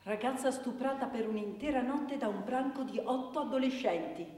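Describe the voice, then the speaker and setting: A woman's voice: high-pitched, moderate pace, a little mellow, slight background noise, lightly acted. Actress as an indignant woman lawyer, echoey hall. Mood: stark, accusing. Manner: emphatic, rhetorical, pointed repetitions. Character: impassioned, indignant woman lawyer pleading for a victim. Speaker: stage actor. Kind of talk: theatrical courtroom oration and dramatic recitation. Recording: echoey hall, distant microphone.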